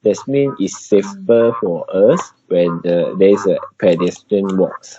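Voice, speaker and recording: Slow, low-voiced Malaysian man on video call. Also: mellow. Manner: halting, non-native English phrasing. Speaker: meeting participant giving an update. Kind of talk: informal work presentation on a video call. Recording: dull, noisy video call with clicks.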